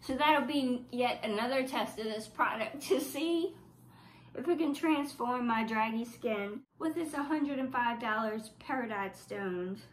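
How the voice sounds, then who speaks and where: A woman's voice: a little high, a little slow, echoey room, slight background noise, rough recording. A woman from South Louisiana with a stuffy, congested voice, giving a casual makeup review with a wry, skeptical manner. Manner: wry, skeptical, reading product claims aloud. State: stuffy from flu. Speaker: beauty vlogger.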